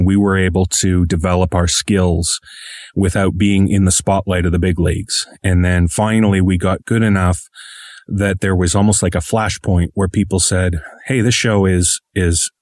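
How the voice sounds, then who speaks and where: A man's voice: low-pitched, steady tone, moderate pace, a little mellow, no echo, clean studio sound. A man speaking as an interviewed podcast creator, thoughtful and wordy, with a self-deprecating streak in conversation.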